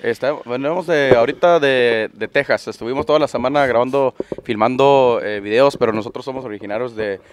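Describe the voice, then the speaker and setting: A man with a middle-pitched voice chats in a relaxed, agreeable way during a group interview. He is an accordion player, recorded on a stand mic out on a garden patio. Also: fairly quick, a little hiss or hum. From United States.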